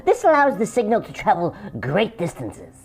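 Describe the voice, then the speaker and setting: A courteous, bookish cartoon panda with a hugely swooping pitch. He speaks primly and pedantically, a gentleman scholar who is quick to take offence. Music plays faintly behind him. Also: huge pitch swings, gravelly, exaggerated voice. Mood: scholarly.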